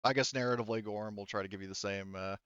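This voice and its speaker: Clear-voiced man running a tabletop game. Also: on the low side, fairly even intonation, fairly bright tone, no room sound, muffled recording. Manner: halting, thinking aloud, casually profane. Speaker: game master. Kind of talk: narrating a tabletop roleplaying game.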